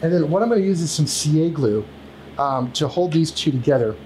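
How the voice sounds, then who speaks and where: A man's voice: fairly high pitch, a little fast, low-quality recording. Man, thirties, casual, fillers and restarts, home workshop, faint noise. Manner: relaxed, rambling with many fillers and restarts. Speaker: woodworking channel host. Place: cluttered home woodworking shop, indoors. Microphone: camera at a few feet.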